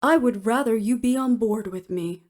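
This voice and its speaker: A woman's voice: a little high, normal speed, a little mellow, lightly acted. A woman playing a cool, determined woman official in an audio roleplay, with clipped, businesslike delivery. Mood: earnest pleading. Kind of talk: scripted audio roleplay acting.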